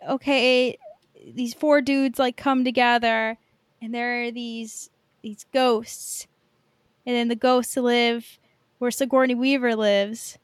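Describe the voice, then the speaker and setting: Female co-host, higher register, unhurried, tentative casual chat, dry sound. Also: smooth, no echo. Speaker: podcast co-host.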